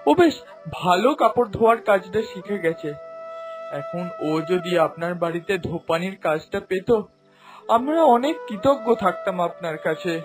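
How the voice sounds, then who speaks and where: A man's voice: high voice, animated, normal speed, music in the background. A man playing a humble, elderly village washerman in a cartoon, speaking in a deferential, plaintive way. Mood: grateful, pleading. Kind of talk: Bengali cartoon voice acting.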